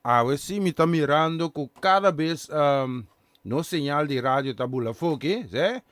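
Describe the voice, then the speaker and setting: A man with a Curaçao accent hosting a gospel radio show, greeting listeners in a halting mix of languages, Papiamentu being his first. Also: fairly high pitch, animated, fairly bright tone, dry recording. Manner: halting, switches between languages, sends blessings. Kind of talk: casual radio chat with greetings. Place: radio studio.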